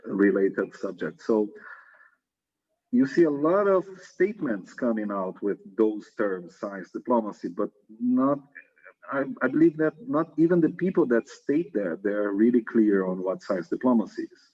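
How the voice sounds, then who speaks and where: Brazilian-accented man, low husky voice. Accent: Brazilian Portuguese. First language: Portuguese. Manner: relaxed, thoughtful, with frequent "uh" pauses. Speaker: interview guest. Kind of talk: casual conversational interview. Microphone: video call. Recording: dull lo-fi video call audio.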